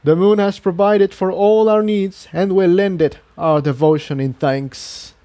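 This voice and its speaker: A man's voice: high-pitched, fairly even intonation, measured pace, dark tone, voice pushed up. A man voicing a devout, courteous pegasus townsman who explains things earnestly and formally in a fantasy audiobook. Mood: earnest, proud. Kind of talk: acted dialogue in fan fiction audiobook.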